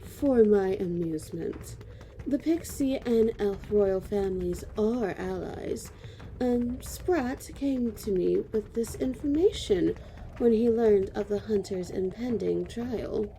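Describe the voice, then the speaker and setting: Elf royal, woman actor: unhurried, soft-toned, quietly superior and teasing, fantasy audio drama, faint ambience behind. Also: medium pitch, a little hiss or hum, low-quality recording. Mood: smugly amused. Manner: knowing, teasing, measured. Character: sly, amused, composed female elf royal.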